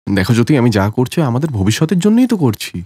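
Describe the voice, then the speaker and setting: A man voicing a busy, money-minded young husband in a cartoon story, brisk, dismissive and self-justifying. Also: medium pitch, sing-song, mellow, husky, no echo. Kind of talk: cartoon story character acting.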